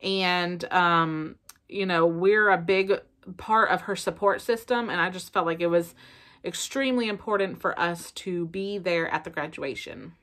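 A woman's voice: lowish voice, measured pace. A woman in her thirties with a Southern American accent, chatting casually to the camera from her bedroom as a family vlogger, full of fillers. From South Carolina. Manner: chatty, expressive, lots of fillers. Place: bedroom at home, indoors. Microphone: camera in front at close range.

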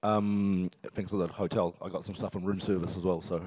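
A man's voice: sits low, fairly quick, slightly rough. A man from Chile, a comedian on a panel in a large hall, talking casually into a handheld mic with lots of fillers and jokes. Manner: relaxed, joking, many fillers. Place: large formal hall on stage.

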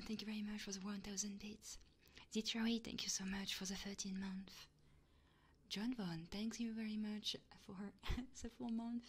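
Woman, soft husky breathy voice, frequent whispering, ASMR livestream chatting, playful casual manner, close microphone. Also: mid-range voice, little pitch movement, unhurried. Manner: casual and playful, swearing freely. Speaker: live streamer. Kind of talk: ASMR chat stream, often whispering.

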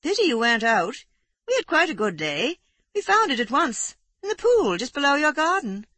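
A woman reading aloud, voicing a hearty, gossipy country neighbour in a brisk, chatty way. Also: sits high, big pitch swings, bright, forceful tone, no room sound, pitched above the performer's own voice, exaggerated voice. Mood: brisk gossip.

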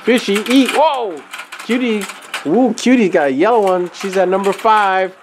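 A woman's voice: medium pitch, background noise. A woman in her thirties behind the camera at home, explaining a kids' game and cheering the children on with teasing, laughing play-by-play. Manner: upbeat, teasing, cheering kids on with quick laughs. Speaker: parent hosting a family toy channel. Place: home dining room, indoors. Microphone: camera mic, speaker off camera. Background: background music.

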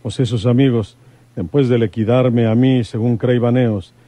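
A man's voice: mid-range voice, steady tone, normal speed, warm tone, no room sound, close to an everyday voice. A man playing an older, stern, folksy rural detective and father with a northern Mexican accent in a radio soap. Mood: explaining. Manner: rustic folksy speech.

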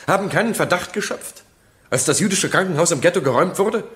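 A skeptical public prosecutor in a courtroom radio drama. The man's fairly high voice moves animatedly as he presses his points formally and incisively. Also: animated, fast talker, a bit bright. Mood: probing.

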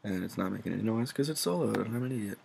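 A man's voice: fairly low pitch, expressive, very fast, gravelly, a little mellow, slight background noise. A young man giving a casual, unscripted tutorial, informal and sweary, poking fun at himself as he explains. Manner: informal, sweary, self-deprecating. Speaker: music production tutorial presenter.

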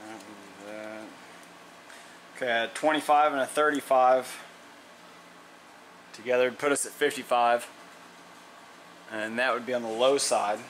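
A man's voice: medium pitch, steady tone, a little slow, pauses often, fairly bright tone, a little hiss or hum, poor audio. Plainspoken man explaining engine work. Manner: plainspoken, thinks aloud with fillers and restarts. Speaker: mechanic demonstrating an engine rebuild. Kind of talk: casual how-to narration while working. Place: workshop garage.

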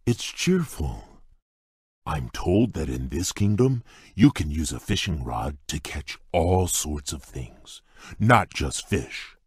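An actor playing a large, shy, polite beast prince in a video game, speaking in a courteous, formal, earnest way with a husky voice. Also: male voice, very animated, slow, no room sound, clean studio sound, heavily acted. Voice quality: deep husky voice. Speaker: game character. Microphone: dry close studio mic.

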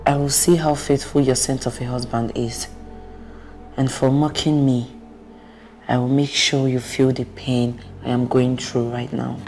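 Resentful, seductive best friend: unhurried, deliberate, sly delivery over faint music. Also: female voice, extremely low pitch, flat delivery, a little mellow. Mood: bitter, vengeful. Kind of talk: melodramatic film acting.

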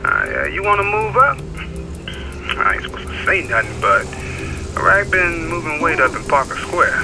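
An actor with an American accent playing a cocky, loose-lipped young gang foot soldier in a video game, brash and slangy. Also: male voice, fairly high pitch, wildly swooping pitch, moderate pace, gravelly, music in the background, heavily acted, poor audio. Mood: confiding.

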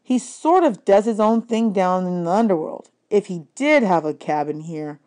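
A woman's voice: mid-range voice, animated, normal speed, darkish tone, natural voice. A woman voicing a nervous, glum young male satyr sidekick in a children's audiobook, explaining things anxiously. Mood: grave, explaining. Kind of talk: children's fantasy audiobook character.

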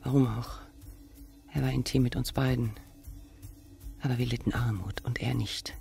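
An actress playing a bitter, vengeful, murderous aunt in a German radio crime drama. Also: female voice, fairly even intonation, normal speed, creaky, mellow, soft background music. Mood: cold bitter confession. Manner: hissing whispers rising to shrill outbursts.

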